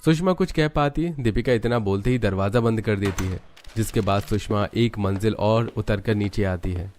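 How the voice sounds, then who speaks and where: A man's voice: lowish voice, moderate pace, a little mellow, soft background music. A man narrating a scripted story as the storyteller.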